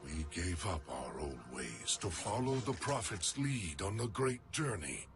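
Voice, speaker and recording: An actor playing a proud, embittered alien elite warrior in a game cutscene, grave and emphatic in delivery. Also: male voice, low-pitched, sing-song, unhurried. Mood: bitter, reverent. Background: cinematic music.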